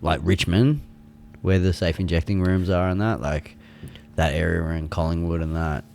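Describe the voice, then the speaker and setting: Slangy Australian man, lowish voice, some noise. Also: fairly even intonation, moderate pace, gravelly, a little mellow. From Melbourne, Australia. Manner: slangy, says "bro" constantly. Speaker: podcast guest, youth worker. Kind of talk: casual podcast chat.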